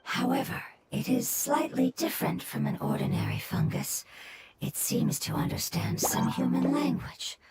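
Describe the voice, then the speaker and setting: A woman voicing a small, gentle plant spirit who speaks softly and formally, in video game dialogue over game music. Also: high-pitched, normal speed, very noisy, close to an everyday voice. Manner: soft, formal, wistful.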